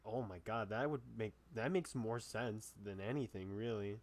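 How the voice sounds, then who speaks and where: A man's voice: fairly low pitch, moderate pace. A man casually commentating as he plays a video game, rambling and restarting his sentences as he thinks aloud. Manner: rambling, trails off and restarts a lot. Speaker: gamer commentating a playthrough.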